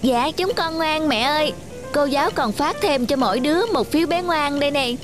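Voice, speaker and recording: A performer voicing a sweet, earnest, excitable preschool boy with childlike eagerness in an animated children's tale. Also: high-pitched, brisk, over music, lightly acted. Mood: eager.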